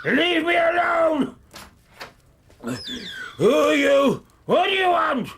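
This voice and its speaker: An actor playing a bitter, frightened, deformed outcast man in a radio drama, agitated, with a strained, creaky voice. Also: very high voice, expressive, fairly bright tone, shouting, slight background noise, heavily acted. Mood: frightened, hostile. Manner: agitated, halting outbursts.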